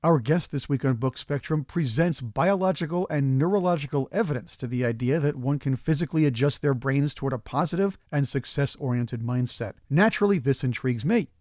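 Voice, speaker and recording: Hoarse male radio host. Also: mid-range voice, a little fast, few pauses, darkish tone, dry recording, clean studio sound. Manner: formal, reads prepared introductions. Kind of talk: scripted radio interview hosting.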